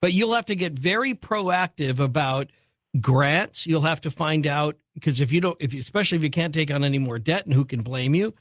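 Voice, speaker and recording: Radio host guy, fairly high voice, quick and encouraging, bone dry studio sound. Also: a bit bright, no echo. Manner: brisk, encouraging, rushes to fit in callers. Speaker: radio talk show host. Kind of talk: call-in radio talk.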